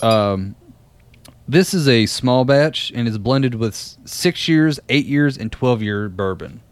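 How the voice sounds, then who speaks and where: A man's voice: mid-range voice, lively intonation, slow pace. A man in his twenties co-hosting a podcast, telling a long story in a rambling way, laughing often. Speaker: podcast co-host. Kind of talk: casual chat.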